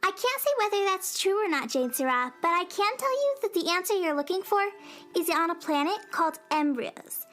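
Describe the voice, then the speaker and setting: A woman's voice: very high-pitched, lively intonation, brisk, fairly bright tone, faint music behind. A woman acting a tiny, sweet-toothed space fairy oracle, cute and cheerful, in a children's radio drama. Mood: oracular. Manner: cute, cheerful, matter-of-fact.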